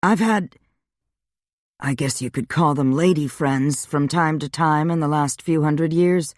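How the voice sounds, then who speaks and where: A woman voicing a centuries-old male vampire who speaks in low, clipped, dry replies. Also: steady tone, unhurried, darkish tone, dry recording.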